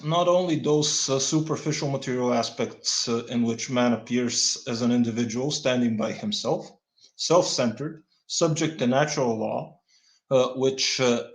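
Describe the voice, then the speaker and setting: Deadpan Bulgarian man reading aloud, echoey room. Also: mid-range voice. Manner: stumbling, restarts words, deadpan wry comments. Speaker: channel host reading a text aloud. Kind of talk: halting read-aloud with dry asides.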